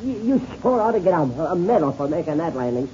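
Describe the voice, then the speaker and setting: An actor playing an excitable, easily rattled, folksy American airman sidekick with a stammer, in 1930s radio serial style. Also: fairly high pitch, brisk. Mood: relieved amazement. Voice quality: stammering delivery. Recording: muffled, noisy old radio recording.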